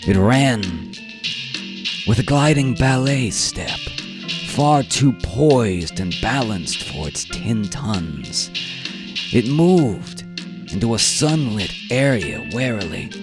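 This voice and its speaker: A man narrating a dramatic science fiction story in a theatrical, deliberate manner over plucked guitar music. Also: on the low side, animated. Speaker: narrator and performer of radio drama. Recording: live performance with music bed.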